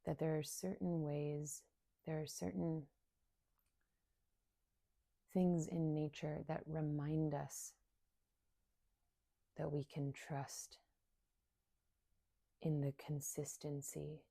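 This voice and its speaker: A woman leading a guided meditation in a soft, soothing, unhurried way, leaving long pauses between short phrases. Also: low voice, level tone, gravelly, dry recording. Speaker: meditation guide and somatic coach.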